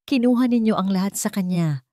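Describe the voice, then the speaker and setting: A woman's voice: mid-range voice, brisk, mellow, no room sound, studio quality, lightly acted. A Filipino woman voicing a wronged, resolute young heiress in a dramatic story, with firm, emphatic declarations. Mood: cold, resolute. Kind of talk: dramatic storybook character reading.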